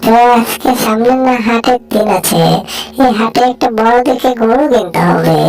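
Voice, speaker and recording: A woman's voice: on the high side, normal speed, darkish tone, faint music behind, close to an everyday voice, rough recording. A woman voicing a chatty, grumbling grown-up household character in a homemade cartoon, rambling and exclaiming. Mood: rambling, lamenting. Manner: rambling, lamenting, full of stock exclamations.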